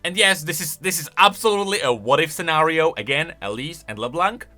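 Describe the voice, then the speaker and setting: A man's voice: fairly high pitch, animated, fairly quick, bright, forceful tone, soft background music. A man giving casual, semi-improvised video commentary, thinking aloud with emphatic delivery. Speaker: YouTube lore commentator.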